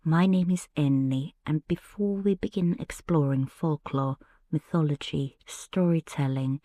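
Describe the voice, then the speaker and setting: A woman from Finland with a Finnish accent, hosting a soft-spoken bedtime podcast and telling personal stories in a gentle, hushed way. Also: deep voice, dry recording. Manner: slow, hushed, gentle delivery. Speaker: podcast host.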